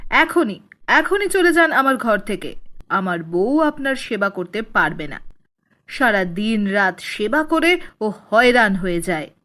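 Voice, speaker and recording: A woman's voice: mid-range voice, sing-song, measured pace, bright, forceful tone. A woman voicing a callous, henpecked grown son in a children's cartoon, speaking bluntly and insistently. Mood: cold, insistent.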